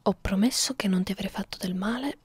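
A woman voicing a hungry, clingy vampire girlfriend in an intimate ASMR roleplay, murmuring softly and slipping into breathy whispers. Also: medium pitch, no echo. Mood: hungry, halting. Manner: coaxing, halting, close-up murmuring with small hums. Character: hungry immortal female vampire girlfriend, clingy, teasing, predatory.

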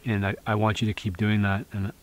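A man's voice: fairly low pitch, completely flat delivery, fairly quick, a little hiss or hum. A man in his thirties vlogging outdoors in a snowy forest, talking casually and encouragingly to camera with lots of fillers and restarts. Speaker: bushcraft vlogger.

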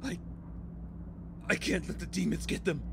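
An actor playing a paranoid, anguished war veteran turned hostage-taker in an audio drama, agitated and halting as he rants. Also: high voice, gravelly, noisy background. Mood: anguished, bitter.

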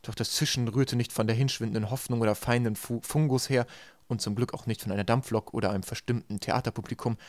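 Male audiobook reader, medium pitch, very dry sound, scripted storybook narration. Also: no echo.

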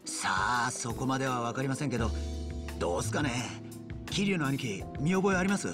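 An actor playing a young, hot-headed yakuza underling in a video game, talking in rough junior slang. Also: male voice, medium pitch, animated, brisk, music in the background, lightly acted. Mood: eager, deferential. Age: young adult. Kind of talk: acted video game cutscene dialogue.

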